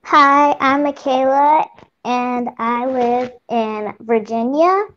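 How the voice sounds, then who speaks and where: Young girl, high cheerful voice. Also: takes it slowly, a bit bright, lo-fi sound. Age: preteen. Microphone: video call.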